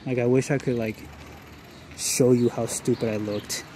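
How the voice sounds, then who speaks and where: A man's voice: medium pitch, fairly even intonation, normal speed. A young man from Los Angeles talking casually to the camera while riding a bike, rambling with restarts and a little out of breath. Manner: rambling, many restarts and fillers; riding a bike one-handed, short of breath. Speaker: travel vlogger. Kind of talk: casual vlog narration while cycling. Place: city streets outdoors. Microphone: camera held at arm's length. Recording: loud street and traffic noise.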